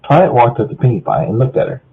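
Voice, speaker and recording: A man's voice: mid-range voice, very animated, fast talker, creaky. A man giving an informal amateur story narration, stumbling over lines, recorded through a muffled phone-like channel. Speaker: fanfiction narrator.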